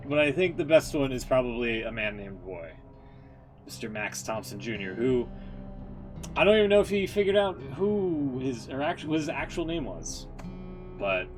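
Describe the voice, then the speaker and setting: Guy streamer giving rambly hot takes on a game, swears a lot, slangy, guitar music in the background. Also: mid-range voice. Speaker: video game livestreamer. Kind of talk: casual, rambling ranked commentary.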